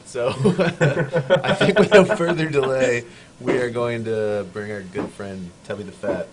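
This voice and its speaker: A man hosts a casual online show and teases his guest with quick jokes. His voice sits at an ordinary pitch. A clear hum of background noise runs under his speech. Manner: joking, quick to laugh. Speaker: show host. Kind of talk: casual streamed show hosting.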